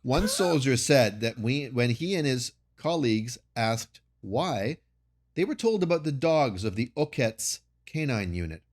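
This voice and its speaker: A man's voice: mid-range voice, animated, a little slow. A man co-hosting a podcast, making dry sarcastic asides and reading passages from an article aloud. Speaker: podcast co-host. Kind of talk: casual podcast chat, reading article excerpts.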